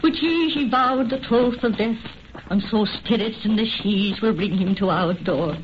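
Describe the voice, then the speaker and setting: A woman's voice: mid-range voice, measured pace, natural voice. An actress playing a lonely, superstitious old Irish mother with a lilting, theatrical delivery in an old-time radio horror drama. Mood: wry, knowing. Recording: dull, noisy old radio recording.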